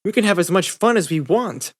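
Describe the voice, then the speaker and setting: A man's voice: high voice, lively intonation, a little fast, voice pushed up. A man plays a refined young lady from an audiobook story. The voice is lifted a little, genteel and theatrical, with a fussy chattiness. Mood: fussy, gracious.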